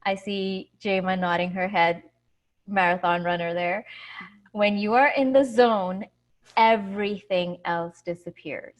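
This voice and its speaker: A woman from India in her thirties, speaking as a yoga teacher over a video call. Her talk is relaxed and full of fillers, with easy laughs between thoughts. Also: medium pitch, a little slow. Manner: warm, laughs easily, many fillers.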